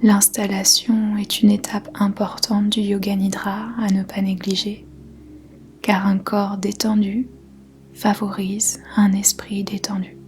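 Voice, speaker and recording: Woman, meditation guide, unhurried pace, even monotone pitch, soft breathy whispery voice, calm measured phrases. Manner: calm, measured, gentle pauses between short phrases. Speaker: yoga nidra meditation guide. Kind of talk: guided meditation, slow soothing instruction. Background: faint music.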